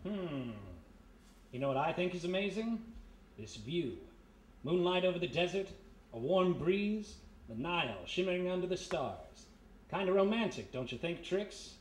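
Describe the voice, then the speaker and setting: A highish, animated male voice in an echoey room, a man playing a private eye in a vintage radio mystery. He is wry and smooth-talking, an easygoing flirt, recorded live with faint noise. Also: a little slow. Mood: flirtatious. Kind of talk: live 1940s-style radio mystery acting.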